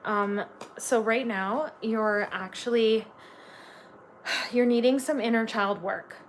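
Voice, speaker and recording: A woman's voice: on the high side, takes it slowly, slight background noise. A woman from Canada giving a live tarot reading, chatty and gushing, full of "like" fillers. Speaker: tarot reader.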